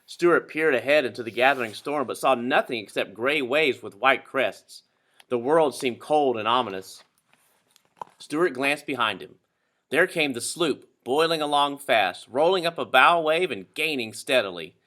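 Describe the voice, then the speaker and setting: Bright, animated male storybook reader. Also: mid-range voice, normal speed. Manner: dramatic, animated. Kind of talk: expressive scripted children's story narration.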